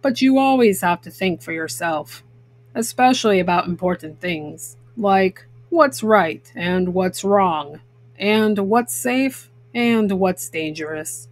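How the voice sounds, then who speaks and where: A woman voicing a folksy old male bear farmer, calm, wise and plain-spoken, giving gentle advice in a children's storybook. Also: mid-range voice, slow pace, dry recording, voice pushed down, lightly acted. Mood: wise, advising.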